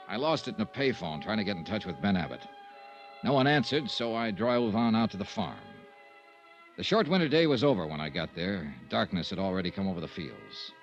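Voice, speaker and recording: Hard-boiled American male investigator, low and very expressive, clipped delivery, old radio noir. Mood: dry, matter-of-fact. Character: tough, level-headed male insurance investigator and detective. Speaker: lead actor and narrator. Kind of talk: vintage radio noir detective acting. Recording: dull, dry vintage radio recording.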